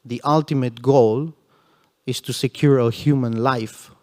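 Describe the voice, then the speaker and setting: An earnest man answers on a conference panel, with a smooth, resonant voice held to a narrow, even pitch. He stresses his points and keeps slipping in "you know" asides. A long hall reverb trails behind every word. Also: a little slow. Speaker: security panelist.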